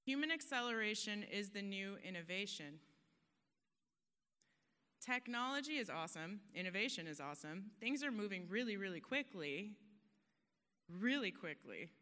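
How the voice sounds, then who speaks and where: A woman's voice: mid-range voice, animated, normal speed, pauses often, pushed and bright, clean tone. A woman giving an earnest, emphatic motivational talk on a conference stage, now and then laughing at herself. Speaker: conference speaker. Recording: long hall reverb.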